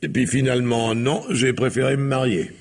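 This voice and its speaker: An actor playing a cynical, worldly bon vivant in a French radio mystery, speaking in an urbane, blunt and sardonic way. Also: male voice, mid-range voice, normal speed, lightly acted. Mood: cynical, mocking.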